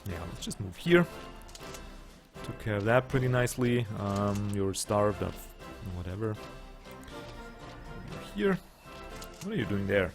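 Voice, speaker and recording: A man's voice: lowish voice, sing-song, unhurried, pauses often, music in the background. A young man, not a native English speaker, casually commenting on his strategy game as he plays, thinking aloud and joking with his units. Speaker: let's play host.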